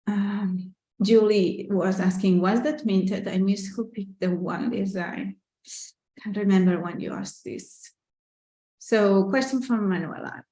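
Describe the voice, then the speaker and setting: A woman's voice: mid-range voice, fairly even intonation, slow, mellow. A woman with a Catalan accent, whose first language is Catalan, talks casually through a live question-and-answer session, reading out viewer questions. She sounds candid and chatty, full of "like" and "you know". The room around her is roomy and echoey. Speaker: artist and business owner hosting a live Q&A.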